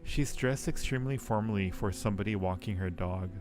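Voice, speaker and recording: A man's voice: on the low side, a little fast. A man live streaming a game, reading its text aloud and chatting casually with viewers as he muses. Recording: music playing behind the voice. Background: music.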